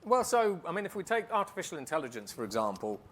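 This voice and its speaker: A man speaking as a keynote speaker, answering on stage in a conference hall in a brisk, emphatic way and rattling off lists. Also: a little high, sing-song, a bit bright, slight background noise.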